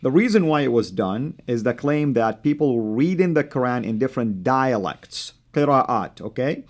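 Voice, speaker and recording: Saudi-born guy, american accent, arabic first language, hosting a studio chat, steady mid pitch, lots of ums. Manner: measured, with frequent fillers. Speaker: host. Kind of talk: studio discussion.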